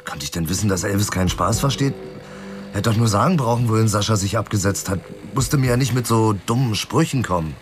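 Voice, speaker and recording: An actor playing a weary, broke, hard-boiled private investigator in a German radio drama, talking in a dry, colloquial, sardonic way. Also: male voice, lowish voice, expressive, quick pace, background noise, lightly acted.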